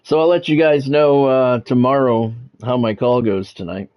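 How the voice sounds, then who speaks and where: A man's voice: medium pitch, steady tone, normal speed. American man, wry game commentary, dull sound. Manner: informal, wry and self-deprecating, occasional swearing. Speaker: game streamer doing football play-by-play. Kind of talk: casual chat mixed with sports commentary.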